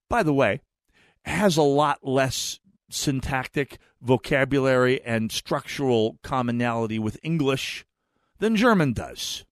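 A man's voice: mid-range voice, dry recording, studio quality. An American man, middle-aged, hosting a talk radio show in a chatty, digressive monologue with frequent restarts. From United States. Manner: chatty, digressive, repeats words when restarting.

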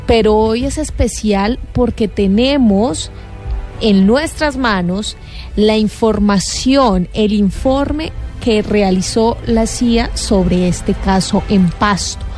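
A woman co-hosting a radio talk show, explaining the evening's program in a measured way. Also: mid-range voice, a little mellow, faint music behind. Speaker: radio co-host.

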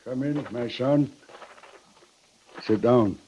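Man acting a slow, solemn chief, old radio. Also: mid-range voice, background noise, lightly acted. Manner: slow, solemn, terse broken phrasing. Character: solemn, proud Sioux chief. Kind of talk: 1950s radio western acting. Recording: dull, band-limited old radio recording.

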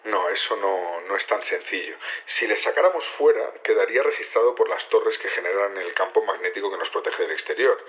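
A man with an accent from Spain playing the leader of a secret rebellion in an audio drama, explaining things haltingly in naturalistic dialogue. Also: sits low, lightly acted. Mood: explanatory. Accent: Spanish (Spain). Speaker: actor in a fiction podcast. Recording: dull lo-fi radio-like sound.

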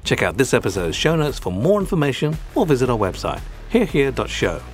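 A man's voice: medium pitch, big pitch swings, fairly quick, soft background music. A British man hosting a scripted comic language lesson, speaking in a prim, formal and wordy manner. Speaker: podcast host.

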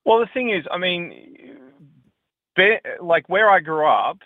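A man's voice: high-pitched, animated, takes it slowly, no room sound. A man from Victoria with an Australian accent phoning a radio show, casually and earnestly recalling things. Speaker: radio phone-in caller. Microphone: telephone. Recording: tinny phone line.

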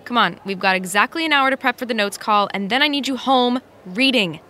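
An actress playing a brisk, teasing, bossy female production assistant, punchy and no-nonsense, in a naturalistic audio drama. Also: a little high, sharp tone.

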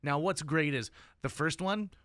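A man's voice: fairly high pitch, a little slow, fairly bright tone, no echo. An American man chatting casually as a radio host in a studio discussion.

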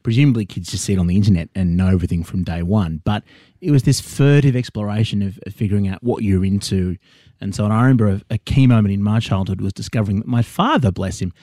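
A man's voice: lowish voice, quick pace, warm tone, no echo, studio quality. A man hosting a casual chat, asking questions in a wry, conversational way. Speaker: host.

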